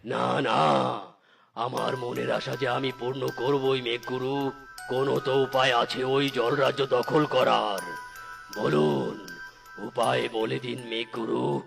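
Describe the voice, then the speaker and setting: A man voicing a boastful, scheming male cloud demon in a cartoon story, menacing and booming. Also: fairly even intonation, vocal fry. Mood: pleading. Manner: slow, menacing, booming villain delivery. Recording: loud background music.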